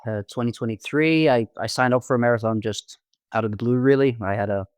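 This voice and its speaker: A man in his twenties with a Norwegian accent, chatting casually as a podcast guest, halting often with ums and repeated words. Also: mid-range voice, clean tone, no room sound, studio quality. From Norway. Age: 20s. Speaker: podcast guest, amateur marathon runner. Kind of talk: casual interview chat.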